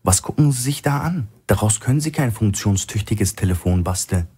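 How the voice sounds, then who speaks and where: A wary hostage companion, voiced by a male audiobook reader. The voice is husky, and the delivery is doubtful and questioning, as in a crime thriller. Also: lowish voice, lively intonation, moderate pace, mellow, voice pushed up. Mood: sceptical.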